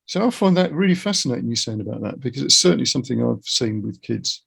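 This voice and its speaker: A middle-aged man chats in a casual interview with a husky voice and plenty of gravelly creak. He is reflective and earnest, setting out his opinions as he goes. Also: mid-range voice, expressive, fast talker, a bit bright.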